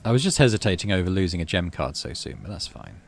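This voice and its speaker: A man's voice: low-pitched, sing-song, fast, vocal fry. A man casually commentating on a game, thinking aloud in murmured asides and reading story text out. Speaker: gaming channel host.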